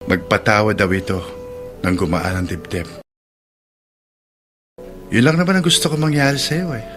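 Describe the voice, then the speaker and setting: A gentle, patient husband, acted by a man in a radio drama. His fairly low voice is earnest and soothing, set over a prominent music bed. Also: normal speed, lightly acted. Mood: earnest, persuading. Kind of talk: Filipino radio drama acting. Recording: loud music bed under voice.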